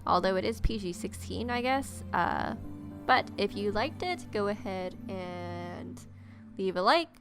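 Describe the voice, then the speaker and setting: Brief: young woman gamer, gravelly fry, casual chatty commentary. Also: mid-range voice, lively intonation, a little slow, music in the background. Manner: chatty, reads aloud and reacts with playful asides. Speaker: gaming streamer. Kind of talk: casual let's-play commentary.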